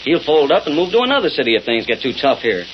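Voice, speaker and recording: An actor playing an eager, slangy newspaper reporter, punchy and exasperated, in vintage radio-drama style. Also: male voice, on the high side, sing-song, fast talker. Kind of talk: 1930s-40s radio acting. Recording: muffled, noisy old radio recording.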